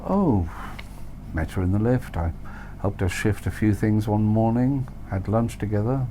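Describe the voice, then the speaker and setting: A man acts out an offhand, evasive male hero in audiobook dialogue. The voice sits higher than narration, mellow, with slight noise behind it. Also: unhurried, lightly acted. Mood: feigned nonchalance. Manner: nonchalant, offhand.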